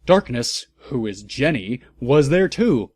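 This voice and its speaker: A man giving a comedic, mock-serious dramatic reading as a narrator, with theatrical, deliberate emphasis. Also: medium pitch, very animated, a little mellow, dry recording.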